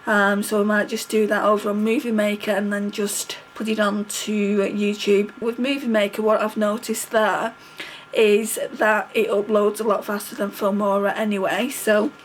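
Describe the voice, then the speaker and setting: A woman's voice: medium pitch, flat delivery, moderate pace, slight background noise. Chatty stuffy-nosed woman. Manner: chatty, rambling, apologetic. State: stuffy nose from a cold. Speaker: beauty vlogger. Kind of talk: casual chat while doing makeup.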